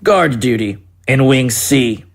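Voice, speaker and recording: An actor playing a menacing military captain, clipped and commanding, with cold cruel amusement, in an audio drama. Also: male voice, mid-range voice, expressive, slow.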